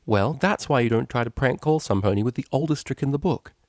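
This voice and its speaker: A man voicing a prim, high-pitched young cellist mare. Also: fast, no room sound, studio quality, natural voice. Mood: deadpan. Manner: precise, bookish, tentative. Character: prim, naive young female cellist pony, studious and earnest.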